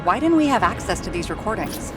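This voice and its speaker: An actress playing a blunt, troubled woman investigator in a dystopian noir video game, direct, questioning and sharp-tongued. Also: medium pitch, very animated, fairly quick, music in the background, lightly acted. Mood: serious, intrigued. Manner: direct, questioning, foul-mouthed. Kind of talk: cinematic video game dialogue.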